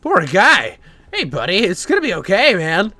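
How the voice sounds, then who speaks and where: A man's voice: high voice, huge pitch swings, moderate pace, pushed and bright. A man giving casual, unscripted reaction commentary in a blunt, slangy way. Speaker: reaction video host.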